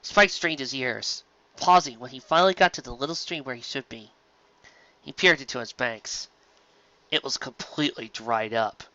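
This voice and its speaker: A man reading scripted fiction aloud as an expressive amateur narrator. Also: medium pitch, moderate pace, muffled recording.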